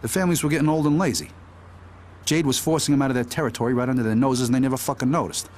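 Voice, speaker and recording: A man's voice: mid-range voice, very fast, darkish tone, natural voice. A man playing a world-weary, foul-mouthed mobster telling his story for a crime game. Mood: blunt, animated. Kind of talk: conversational crime-story narration.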